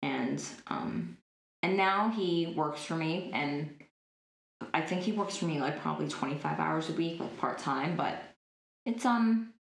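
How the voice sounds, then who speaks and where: A woman in her twenties speaks at the low end of women's pitch, chatting casually and filling her sentences with "like" and "um". The room around her gives a noticeable echo, as in an interview recorded in an open space. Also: expressive, unhurried, vocal fry. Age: 20s. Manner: chatty, lots of likes and ums. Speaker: interview guest. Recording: roomy echo.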